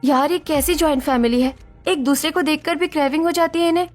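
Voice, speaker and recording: A woman's voice: sits high, steady tone, fast talker, soft background music, lightly acted. A woman playing an overworked, exasperated but polite young Indian daughter-in-law in a Hindi story drama. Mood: exasperated, complaining. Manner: complaining, polite to elders. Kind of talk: Hindi audio story dialogue acting.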